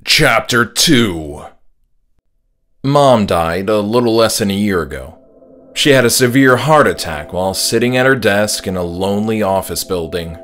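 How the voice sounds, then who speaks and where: A man's voice: on the low side, expressive, unhurried, fairly bright tone. A man reading his own horror story aloud as a scripted first-person audiobook narration.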